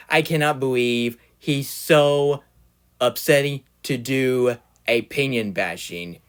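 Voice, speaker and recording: A man's voice: medium pitch, smooth. A young man ranting angrily at another online creator, stuttering, restarting and repeating words as he scolds him directly. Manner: scolding, addresses the target directly. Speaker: YouTube ranter. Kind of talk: angry rant to camera.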